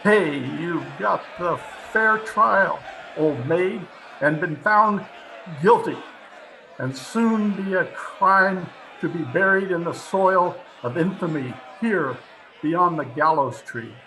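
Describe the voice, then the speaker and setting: Man acting a creaky, high-pitched gloating executioner. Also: expressive, slow pace, sharp tone, some chatter behind, heavily acted, rough recording. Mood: gloating, loud. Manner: jeering, crowing, archaic speech. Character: gloating, merciless executioner at the gallows. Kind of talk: old-time radio horror acting. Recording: home remote recording with clear background noise.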